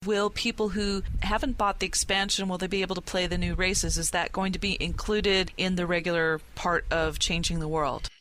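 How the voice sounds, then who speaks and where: A woman's voice: on the low side, fairly even intonation, normal speed, few pauses, a bit bright. A woman moderating a podcast panel and putting questions to the guests.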